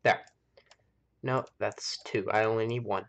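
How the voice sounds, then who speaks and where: A man's voice: lowish voice, fairly even intonation, a little slow, a bit bright, clean tone, muffled recording. An American man hosting a game live stream, chatting casually and rambling as he thinks aloud. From United States. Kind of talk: casual chat while playing a game.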